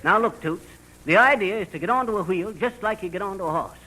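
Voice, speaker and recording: High-pitched folksy old-radio braggart. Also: male voice, quick pace, pushed and bright. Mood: boastful, cocky. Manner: folksy slangy bragging with chuckles. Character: boastful bumbling middle-aged man showing off on a bicycle. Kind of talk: 1940s radio comedy acting. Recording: noisy vintage 1940s radio recording.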